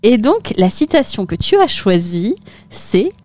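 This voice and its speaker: A woman hosting a podcast, reading a scripted intro and then asking relaxed interview questions. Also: medium pitch, a little slow. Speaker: podcast host.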